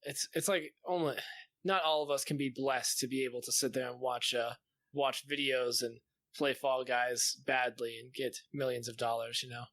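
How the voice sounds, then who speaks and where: A man's voice: medium pitch, fairly even intonation, moderate pace, a bit bright, no echo. A man co-hosting a gaming podcast, chatting casually about video games. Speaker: podcast co-host.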